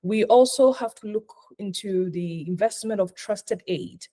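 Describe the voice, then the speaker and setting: A woman's voice: mid-range voice, unhurried, dark tone. A middle-aged woman who grew up in Ghana, a hospitality consultant talking earnestly in a live online session and repeating points for emphasis. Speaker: hospitality consultant speaking as a guest.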